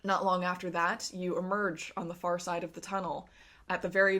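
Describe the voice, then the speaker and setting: A woman narrating and refereeing a tabletop fantasy game as game master, telling it with suspenseful pauses. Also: on the low side, a bit bright.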